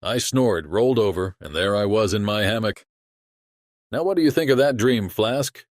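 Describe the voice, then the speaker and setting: A man's voice: lowish voice, lively intonation, normal speed, no room sound, studio quality, close to an everyday voice. A man playing a chatty sailor who tells a yarn to his shipmate in colloquial, exclamatory audiobook acting. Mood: confiding wonder.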